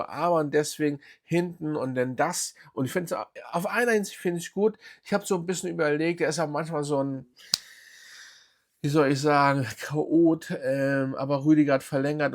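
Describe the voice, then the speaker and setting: A man's voice: fairly high pitch, moderate pace, gravelly. A man hosting his own football fan channel, giving rambling casual commentary with many fillers and restarts.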